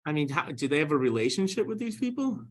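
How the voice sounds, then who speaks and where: A man's voice: on the high side, very animated, fast talker. A man speaking as an interview guest and founder, in a casual, conversational and emphatic way.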